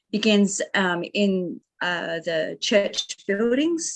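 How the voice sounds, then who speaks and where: A woman minister with an Australian accent and a scratchy voice, telling a passionate, earnest story at an online church workshop, with many ums. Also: lowish voice. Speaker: minister and chaplain.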